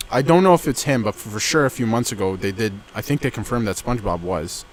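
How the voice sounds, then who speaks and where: A man's voice: on the low side, a little fast, slight background noise, rough recording. A man in his twenties co-hosting a casual podcast, rambling with many fillers and restarts, recorded outdoors under a backyard gazebo. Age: 20s. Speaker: podcast co-host. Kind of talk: casual chat.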